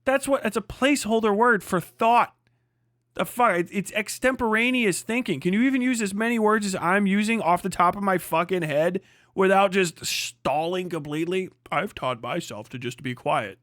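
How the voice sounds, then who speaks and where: A man with a high-pitched voice hosts a livestream, recorded close and dry. He rants off the cuff in casual reaction commentary, swearing freely and mocking as he rambles. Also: normal speed, a bit bright, no echo. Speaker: livestream host.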